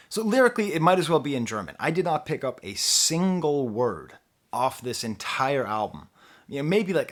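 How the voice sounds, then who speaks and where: A man reviewing an album straight to camera in an easygoing, off-the-cuff way. He rambles, pokes fun at himself and swings between excited bursts and mumbled asides. His voice sits at an ordinary middle pitch. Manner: animated, rambling, self-deprecating. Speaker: music reviewer.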